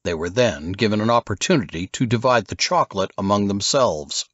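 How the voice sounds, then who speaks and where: A man's voice: fairly low pitch, expressive, a little fast, fairly bright tone, slightly rough, no echo, dull audio. A man reading scripted fiction as an audiobook narrator.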